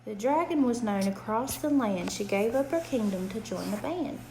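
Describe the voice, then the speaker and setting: A woman reading a rhyming bedtime storybook aloud to a child in an expressive, rhythmic way. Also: on the high side, normal speed, a little hiss or hum, rough recording. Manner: rhythmic, animated read-aloud with emphatic questions.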